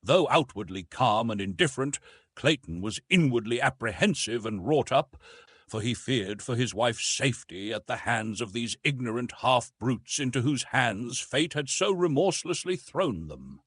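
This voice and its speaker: Husky, expressive male audiobook narrator. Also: mid-range voice, moderate pace, no room sound. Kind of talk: scripted narration of a classic adventure novel.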